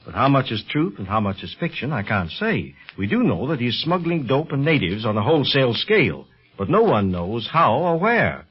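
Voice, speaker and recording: An actor playing a dignified, courteous doctor and diplomat with a formal manner in a 1930s radio serial. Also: male voice, mid-range voice, very animated, normal speed, few pauses, breathy edge. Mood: gracious. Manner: formal, gracious. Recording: muffled 1930s radio recording.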